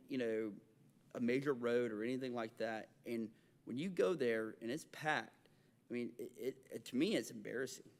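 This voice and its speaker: A man's voice: medium pitch, a little mellow. Man with a Southern twang. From Alabama. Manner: halting, many restarts and fillers. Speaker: city council member. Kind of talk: council meeting remarks. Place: council chamber.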